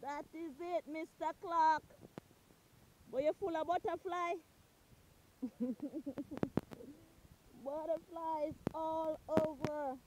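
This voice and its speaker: A Jamaican woman vlogging on a farm, chatting in a lively, exclaiming way while filming on a handheld phone outdoors. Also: high-pitched, takes it slowly, long pauses, noisy background. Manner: lively, exclaiming, repeats catchphrases. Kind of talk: casual farm tour chat. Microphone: handheld phone camera.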